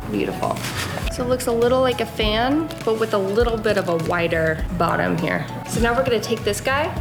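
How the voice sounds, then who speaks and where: A young woman giving a casual, chatty craft tutorial, instructive with playful asides. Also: medium pitch. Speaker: host, mother of a toddler. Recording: roomy echo, loud music behind. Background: music.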